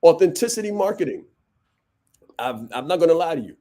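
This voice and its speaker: A man's voice: fairly high pitch, sing-song, brisk, dark tone. A man hosting a business podcast, giving a blunt, preachy motivational monologue full of punchy repetition. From Vietnam. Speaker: podcast host and business coach.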